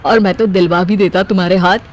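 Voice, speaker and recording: A man voicing a wisecracking, exasperated young husband in an animated moral story, sarcastic and teasing. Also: high-pitched, fast talker, close to an everyday voice.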